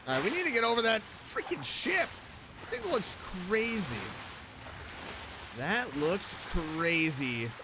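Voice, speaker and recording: A man doing casual gaming commentary, chatting excitedly to himself and his game character. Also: high-pitched, background noise. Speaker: streamer, video game let's player. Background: game music.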